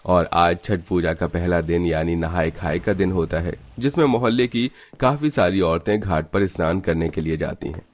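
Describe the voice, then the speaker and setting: Very deep-voiced male storyteller. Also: normal speed, hardly any pauses. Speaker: storyteller narrator. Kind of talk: scripted cartoon story narration in a dramatic storybook manner.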